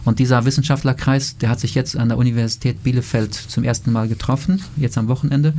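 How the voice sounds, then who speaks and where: Man with a flat, dark, measured voice. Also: medium pitch. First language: Plautdietsch. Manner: measured, explanatory, with frequent fillers. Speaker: interviewee, language association member. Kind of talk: radio interview.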